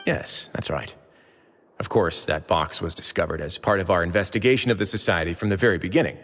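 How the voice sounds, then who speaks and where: An actor playing a composed, polite man in charge, speaking in a measured, formal way. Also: low-pitched, a bit bright, in a large hall. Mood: calm. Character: composed male warden, calm and courteous.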